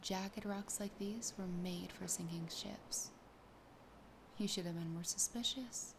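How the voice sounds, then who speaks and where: A woman voicing a sassy, possessive, lonely siren in a soft, breathy, often whispered ASMR roleplay, slow, teasing and intimate. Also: medium pitch, background noise. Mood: smug, possessive. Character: possessive, sassy, lonely female siren toying with her catch.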